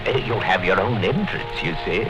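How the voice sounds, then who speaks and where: A man's voice: deep voice, expressive, normal speed, music in the background. An actor playing an obliging, discreet male landlord with a genteel, confiding way of talking in a 1950s radio drama. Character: obliging, discreet shopkeeper landlord renting out a room. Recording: dull 1950s radio recording.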